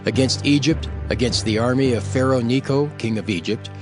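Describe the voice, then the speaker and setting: A man narrating scripture in a formal, measured and solemn audio Bible style. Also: medium pitch. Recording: prominent music under the narration.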